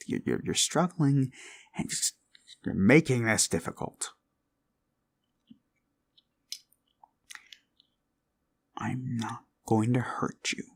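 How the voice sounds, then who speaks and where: A man playing an obsessive, controlling boyfriend in an ASMR roleplay, coaxing and condescending, often slipping into breathy whispers. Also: mid-range voice, heavily acted. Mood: frustrated, aggrieved. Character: obsessive, controlling yandere boyfriend, menacingly sweet.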